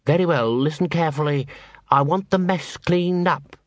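Stern senior assassin doctor for an audiobook, curt and commanding, pitched up male narrator acting, dry close recording. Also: normal speed, no echo, lightly acted. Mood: snapping, commanding.

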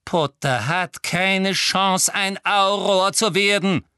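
A man's voice: expressive, a little slow, fairly bright tone, no room sound, studio quality. A man voicing a small, spiteful, prissy woman official in an audiobook, with an affected, indignant manner. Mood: loud, furious. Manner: affected, shrill, cold, indignant. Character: small, prissy, spiteful middle-aged female ministry official. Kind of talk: audiobook character acting.

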